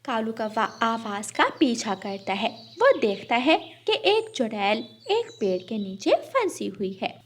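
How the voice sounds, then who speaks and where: Casting: female children's story narrator, lively and highish, slight noise behind. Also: a little slow, hardly any pauses.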